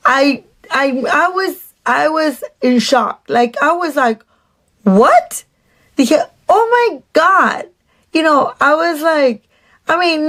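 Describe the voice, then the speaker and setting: A woman with a Mexican Spanish accent, chatty and animated, telling a dating story to her phone camera with many restarts. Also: sits high. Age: adult. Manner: slips into Spanish "dije". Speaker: dating vlogger.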